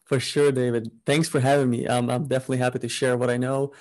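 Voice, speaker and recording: A young man from Ukraine, a guest on a podcast, telling his story in a relaxed, upbeat way. His voice is mellow and warm, sitting in an ordinary middle pitch. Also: fast talker. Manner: relaxed, upbeat, laughs easily. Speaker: guest, e-commerce entrepreneur. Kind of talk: casual interview chat.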